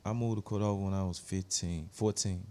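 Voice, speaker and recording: A man's voice: low-pitched, steady tone, a little fast, dark tone. A man co-hosting a podcast, chatting in a laid-back, profane way. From South Memphis. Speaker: podcast co-host. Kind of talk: casual chat.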